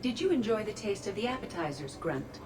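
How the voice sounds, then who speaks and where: A woman's voice: mid-range voice, sing-song, a little fast, natural voice. A woman voicing a polite artificial intelligence with a precise, matter-of-fact, literal way of talking. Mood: pleased, matter-of-fact. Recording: slightly distant, music behind.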